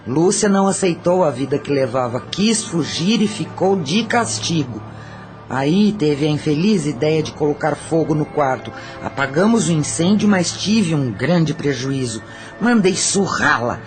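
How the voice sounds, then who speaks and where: A woman's voice: low voice, animated, measured pace, darkish tone. A tormented spirit of a once-cold brothel madam played by a woman confesses in a radio drama. She has a Brazilian accent and speaks matter-of-factly, with regret, over music. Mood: cold confession. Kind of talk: Portuguese-language audio drama acting.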